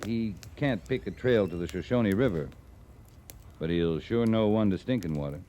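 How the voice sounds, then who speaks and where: An actor playing a terse, dry-humored cavalry captain in a 1950s radio western, with a gruff, blunt manner. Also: male voice, fairly low pitch, lively intonation, moderate pace, dark tone, close to an everyday voice. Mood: firm, measured. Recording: old radio recording with background noise.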